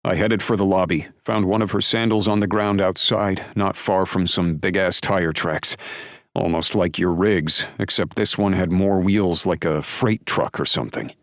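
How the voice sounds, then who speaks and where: A man's voice: low-pitched, steady tone, moderate pace, a bit bright, dry recording, voice pushed up. A man voicing a firm, blunt male lawman speaking matter-of-factly on the phone, in a dry, close recording. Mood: urgent. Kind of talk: audiobook dialogue.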